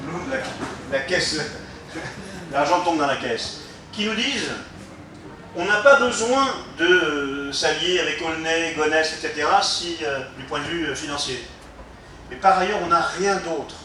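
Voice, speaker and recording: A man speaks from some way off in a strongly echoing room, with faint noise around him. He explains like a local politician, didactic and emphatic, pausing and searching for his words. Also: a little high, lively intonation, bright, forceful tone. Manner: halting, emphatic, didactic with rhetorical questions. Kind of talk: unscripted explanatory speech to listeners. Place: reverberant room, recorded from some distance. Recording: very echoey room, distant mic, faint noise.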